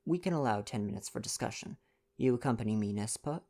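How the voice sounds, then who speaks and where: A man's voice: fairly low pitch, animated, fast talker, a little mellow. Older Belgian detective played by a man, storybook character: vain, courteous, self-important, formal foreign turns of phrase. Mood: brisk, confident. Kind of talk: storybook dialogue reading.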